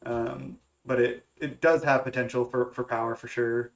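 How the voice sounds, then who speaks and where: Young man, stuffy nose, hesitant, lots of ums, casual game commentary, average pitch. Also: flat delivery, moderate pace, gravelly. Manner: thinking aloud, hesitant with many fillers and restarts. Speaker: gaming content creator. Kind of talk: casual play-by-play of a card game.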